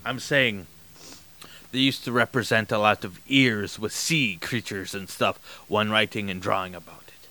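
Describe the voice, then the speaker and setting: A man's voice: lowish voice, normal speed, bright, forceful tone. A man co-hosting a casual anatomy podcast, mostly agreeable and rambling in his explanations. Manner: agreeable, rambling, defensive when challenged. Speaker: podcast co-host. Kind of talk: casual chat about anatomy.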